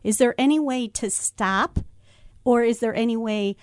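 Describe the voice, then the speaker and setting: A woman co-hosting a retirement radio show, explaining planning topics in a steady way with some fillers. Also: fairly high pitch, measured pace, no room sound, clean studio sound. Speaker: financial planning co-host. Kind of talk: radio talk show discussion.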